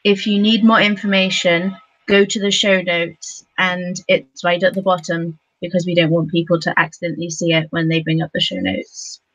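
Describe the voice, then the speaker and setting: A woman talking casually on a discussion panel, halting as she thinks aloud. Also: lowish voice, flat delivery, a bit bright, dry recording. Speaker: podcast panelist. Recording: dull lo-fi sound.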